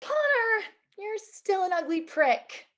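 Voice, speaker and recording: A woman's voice: very high voice, big pitch swings, slow pace, fairly bright tone, full character voice. A woman acting a blunt, teasing and sardonic assistant with a flirty streak in a comedy table read. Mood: wry, teasing. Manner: wry, teasing. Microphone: video call.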